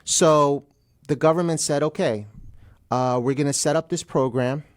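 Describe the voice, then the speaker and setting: Male attorney, radio interview guest, slightly higher-pitched voice, plain halting explanations, dry studio sound. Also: no room sound.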